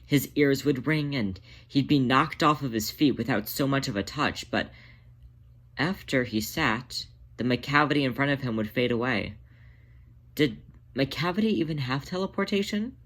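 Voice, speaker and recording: Casting: male narrator with a medium-pitched voice, reading fan fiction aloud as host. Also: normal speed, fairly bright tone. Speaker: fan fiction author and podfic reader, host. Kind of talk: scripted narration of a story read aloud.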